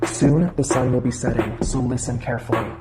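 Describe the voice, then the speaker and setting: A woman's voice: very deep voice, fairly even intonation, a little fast, pitched below the performer's own voice. A woman voicing a stern old woman exorcist who gives grave, commanding warnings in a horror story. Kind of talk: horror story character dialogue. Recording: loud music under the voice. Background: loud music.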